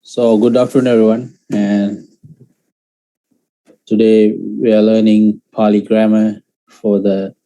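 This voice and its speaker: A man whose first language is Sinhalese, teaching Pali grammar in an online lecture, speaking in halting phrases with many fillers and restarts. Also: fairly low pitch, dark tone, low-quality recording. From Sri Lanka.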